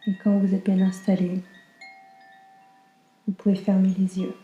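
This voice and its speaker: A woman leads a guided meditation in a very mellow, hushed and breathy voice. Her delivery is calm and slow, with little melodic movement. The sound is roomy and echoing, and she soothes as she instructs. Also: medium pitch, dull audio, soft background music. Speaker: yoga nidra guide.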